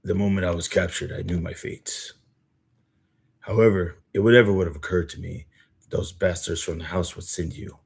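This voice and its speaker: A grave veteran assassin, read out by a man, speaking in a low, husky voice. He is formal and fatalistic, a betrayed servant of duty in a dramatic game story. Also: fairly even intonation, moderate pace, heavily acted. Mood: resigned, bitter. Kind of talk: dramatic reading of story dialogue.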